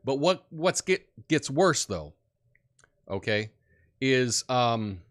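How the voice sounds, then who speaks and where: A man hosting a political commentary show, who talks in an opinionated, rambling way with many fillers and has a big booming voice. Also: very animated, slow. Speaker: podcast host.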